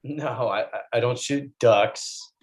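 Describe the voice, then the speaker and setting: A man playing a flirty, unbothered slacker bro in a comedy table read, with halting, slangy speech. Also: mid-range voice. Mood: awkward, flirty. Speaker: actor in a screenplay table read.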